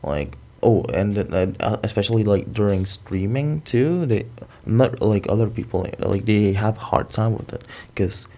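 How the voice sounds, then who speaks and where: A young man from Indonesia who speaks with an American accent, improvising to camera in a quick, rambling and profane way. His voice is mellow and gravelly, with a husky edge, and his pitch swings about as he talks. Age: young adult. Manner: fast, animated, swearing, rambling. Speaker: vlogger.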